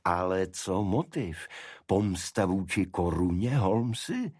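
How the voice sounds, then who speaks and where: A man reads as a polite Victorian gentleman in a detective audiobook. He questions things sceptically in a very low, husky voice. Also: animated, no room sound, studio quality. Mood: curious, doubtful.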